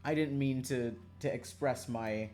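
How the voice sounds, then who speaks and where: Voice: mid-range voice, measured pace, a little mellow, soft background music. A streamer chatting casually on a livestream in a joking, self-mocking, dramatic way, swearing freely.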